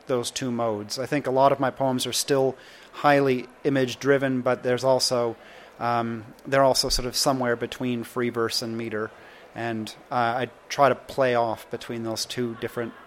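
A man speaks about his poetry in a thoughtful, halting way, full of ums and restarts. His voice sits at an ordinary pitch, with faint background noise in the recording. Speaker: poet. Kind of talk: Q&A after a poetry reading.

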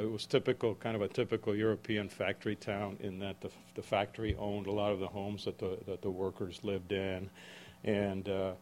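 Halting older man from Czechia. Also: lowish voice, darkish tone, slight background noise. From Czech Republic. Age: 70s. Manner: halting, with frequent restarts and self-corrections. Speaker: author. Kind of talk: book talk to a live audience. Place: event room with an audience.

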